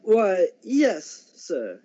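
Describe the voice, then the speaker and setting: A man playing a courteous, astonished gentleman charity collector in a radio play, hesitant and flustered. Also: high-pitched, huge pitch swings, extremely slow, exaggerated voice. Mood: astonished, grateful. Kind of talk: school radio drama acting. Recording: muffled lo-fi home recording.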